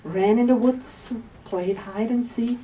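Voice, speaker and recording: A woman reads her memoir aloud at a bookstore signing. Her voice sits fairly high yet sounds mellow and warm. She takes her time over every phrase, gentle and unhurried, and she is caught from some way off the mic, with a faint hum of room noise behind. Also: recorded from a distance, low-quality recording. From Switzerland. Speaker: author.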